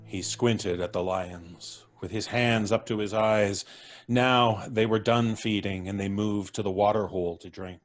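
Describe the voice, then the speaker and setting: Low-voiced male narrator. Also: fairly even intonation, unhurried, faint music behind. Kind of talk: scripted dramatic narration.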